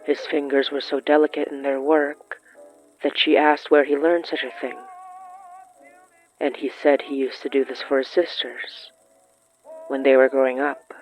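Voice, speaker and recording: A narrator reading scripted period fiction in a measured, deliberate way with pauses. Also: medium pitch, little pitch movement, rough recording. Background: background music.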